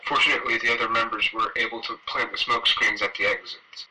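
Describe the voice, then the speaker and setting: Guy doing a soldier on the radio, very sharp harsh tone, flat clipped formal delivery. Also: mid-range voice, fast talker, rough recording. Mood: urgent. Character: brisk male soldier reporting to his commander.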